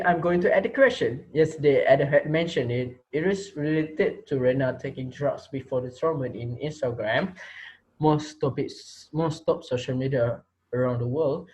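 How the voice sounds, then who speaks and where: A man plays a menacing schoolteacher turned captor in a reader's theater drama. His voice sits fairly high for a man, and he toys with his victims in a mocking, taunting way. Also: darkish tone, lightly acted, rough recording. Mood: teasing, controlled. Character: menacing male teacher holding his class hostage, mocking, taunting. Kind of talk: amateur reader's theater acting.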